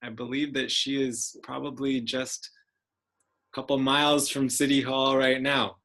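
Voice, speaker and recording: A man with a bright, forward voice at average pitch hosts an online meeting. He introduces presenters informally and complimentarily, pausing often between phrases. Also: level tone. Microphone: video call.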